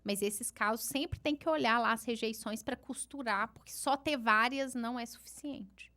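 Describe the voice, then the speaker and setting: A woman's voice: fairly high pitch, normal speed, bright, forceful tone. A woman with a regional Brazilian accent, lecturing at a seminar and answering questions in a chatty, self-deprecating way. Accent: Brazilian Portuguese, regional. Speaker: law lecturer. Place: conference hall.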